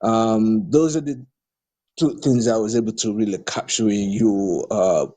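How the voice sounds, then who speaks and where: A man's voice: lowish voice, measured pace, mellow, breathy edge. A man from Nigeria, speaking for a political party in an audio discussion, hesitant with many fillers and restarts but growing emphatic when criticising politicians. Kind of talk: audio discussion replying to a participant.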